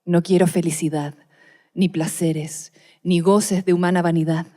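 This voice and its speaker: A woman playing a frightened, defiant young wife in a radio play, measured and haunted. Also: on the low side, steady tone, warm tone, lightly acted. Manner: measured, dramatic, haunted delivery. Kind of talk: Spanish-language radio play, diary monologue.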